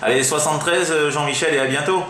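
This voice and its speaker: A man's voice: a little high, fast. A man from France chatting casually as a CB radio operator, in a hesitant, rambling way full of verbal tags. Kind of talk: casual amateur radio chat. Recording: roomy echo, faint background noise.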